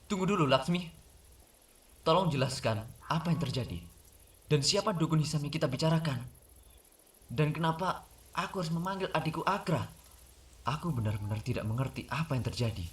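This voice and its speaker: A man's voice: a little high, animated, a little fast, long pauses, close to an everyday voice. A man acting a determined, loyal young hero in a comic dub. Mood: confused.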